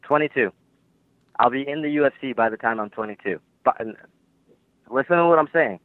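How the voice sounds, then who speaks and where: A man's voice: medium pitch, a little fast, dry recording. An eighteen-year-old man, a fighter talking brashly on a phone line and giving boastful guarantees. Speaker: guest fighter. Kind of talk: phone interview. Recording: tinny dry phone line.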